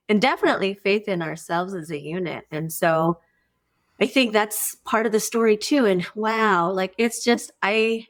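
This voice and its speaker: A middle-aged woman chatting casually as a podcast guest, expressive and informal in the way she talks. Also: mid-range voice. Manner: expressive, informal, peppers talk with "like" and "you know". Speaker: guest, farmer and former academic. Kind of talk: casual, conversational podcast chat.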